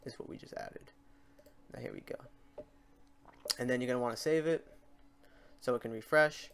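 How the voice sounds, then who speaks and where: Man, mid-pitched voice, informal tutorial host, unscripted step-by-step narration, talks to himself. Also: normal speed, vocal fry, fairly bright tone, slight background noise. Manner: informal, talks to himself while clicking through steps. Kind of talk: casual unscripted screen-recorded tutorial narration.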